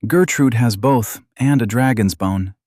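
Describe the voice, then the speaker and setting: A man's voice: mid-range voice, measured pace, darkish tone, dry recording, clean studio sound, natural voice. A man voicing a cocky young male hero, casual and flippant, in a fantasy audiobook. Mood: smug.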